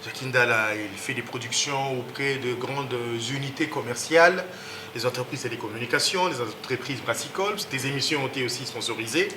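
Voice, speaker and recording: A man's voice: medium pitch, brisk, background noise. A man hosting a TV talk show, speaking emphatically and pushing up on key words, with hesitant fillers. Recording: roomy studio echo.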